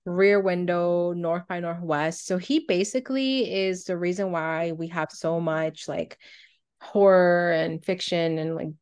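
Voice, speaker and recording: A woman with a fairly low voice talks as a podcast co-host. Her delivery is casual and conversational, as if she is thinking aloud while she explains things. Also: fairly even intonation, measured pace, a little mellow, clear, resonant tone.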